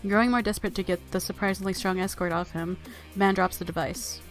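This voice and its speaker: A woman's voice: medium pitch, steady tone, quick pace. Playful woman narrating a game over music. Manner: playful, giggly commentary. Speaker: let's play YouTuber. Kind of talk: reading game narration aloud with casual reactions.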